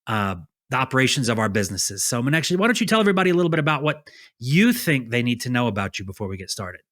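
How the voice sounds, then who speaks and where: A man's voice: mid-range voice, big pitch swings, rapid-fire, fairly bright tone. A man hosting a podcast, reading an upbeat intro and then chatting with a guest, often affirming with short yeahs. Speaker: podcast host. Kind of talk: scripted intro, then casual interview chat.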